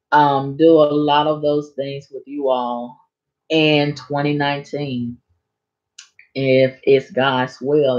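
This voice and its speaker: Slow-talking, hoarse woman streamer. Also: sits low, darkish tone, lo-fi sound. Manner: rambling, chatty, addresses viewers directly. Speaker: YouTube live streamer. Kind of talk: casual live stream chat.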